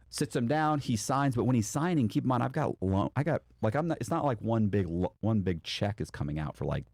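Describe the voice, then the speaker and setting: A man telling his own story in a casual, animated conversational style, acting out dialogue and swearing freely. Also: mid-range voice, brisk, gravelly, no echo. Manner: acts out both sides of remembered dialogue; casual, profane.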